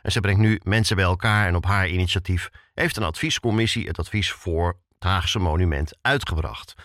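A man's voice: low voice, no room sound, studio quality. A man hosting a podcast, narrating from a script in a conversational way and addressing the listener directly. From Netherlands. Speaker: podcast host.

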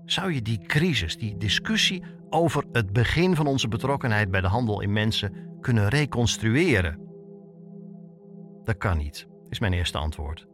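A man's voice: lowish voice, expressive, moderate pace. A man with a Netherlands Dutch accent narrating a scripted personal documentary as a reporter, reflective and posing questions to himself. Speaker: reporter and podcast host. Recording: music bed under the voice. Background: music.

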